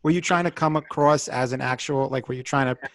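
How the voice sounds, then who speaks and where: A man's voice: mid-range voice, quick pace, fairly bright tone. A man hosting a casual interview, eagerly recapping his guest's stories and laughing along. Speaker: podcast host.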